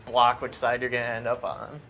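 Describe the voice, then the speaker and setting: A man's voice: mid-range voice, completely flat delivery, brisk, pushed and bright. A man giving informal, unscripted game commentary, explaining things in a conversational way.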